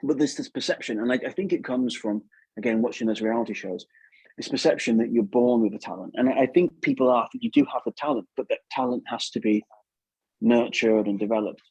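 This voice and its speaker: A man's voice: medium pitch, expressive, brisk, a little mellow, husky, no room sound, rough recording. A man giving casual advice as an interview guest, chatty and repeating himself for emphasis. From England.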